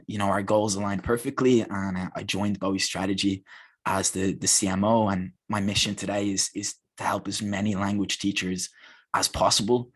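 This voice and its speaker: A man's voice: sits low, a bit bright, slightly rough. A man speaking as a guest on a webinar over a video call, telling his career story earnestly and with enthusiasm, often repeating words as he restarts.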